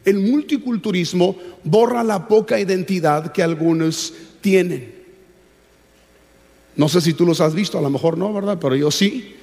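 High-voiced Mexican preacher man in echoing hall. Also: normal speed, creaky, darkish tone. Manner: didactic, emphatic repetition, rhetorical questions. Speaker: pastor preaching. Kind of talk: sermon, teaching to a congregation. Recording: reverberant large hall.

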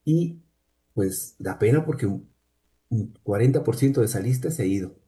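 A man with an accent from Cuenca, Ecuador, a university researcher explaining things at a measured pace with frequent pauses as a guest in an interview. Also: fairly low pitch, expressive, warm tone. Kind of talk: conversational interview answers.

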